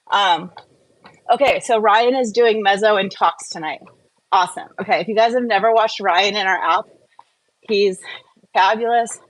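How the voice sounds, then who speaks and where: A woman's voice: mid-range voice, poor audio. A woman hosting a casual live Q&A, talking in an informal, rambling, chatty way. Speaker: live-stream host.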